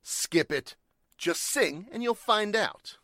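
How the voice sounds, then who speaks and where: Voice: medium pitch, expressive, slow pace, sharp tone, dry recording, heavily acted. Someone acting shady tough crook from an old 40s radio play, slangy n threatening, voice jumps all over, dry sound. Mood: menacing. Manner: slangy, ungrammatical tough talk. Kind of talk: 1940s radio drama acting.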